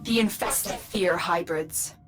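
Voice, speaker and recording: Composed female mission commander over loud music. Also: lowish voice, slow pace, rough recording. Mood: concerned, questioning. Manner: calm, clipped instructions. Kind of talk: video game mission briefing. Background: prominent music.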